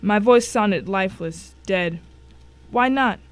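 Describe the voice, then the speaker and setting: Lady as a grim narrator reading a crime thriller, medium pitch, dramatic first person storytelling. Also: slow, close to an everyday voice. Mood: grim, tense.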